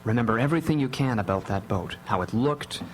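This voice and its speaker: A man playing a worried, gentle father in a live radio drama, speaking earnestly. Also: medium pitch, animated, fast talker, close to an everyday voice. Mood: anxious, steady. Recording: noisy, humming live radio broadcast.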